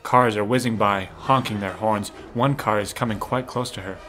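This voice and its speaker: A man's voice: on the low side, steady tone, normal speed, pushed and bright. A man narrating a scripted audio drama in the present tense. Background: music.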